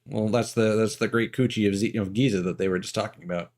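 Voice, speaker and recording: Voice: mid-range voice, steady tone, quick pace, darkish tone. A game streamer giving casual, playful commentary and joking along with a co-host. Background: game music.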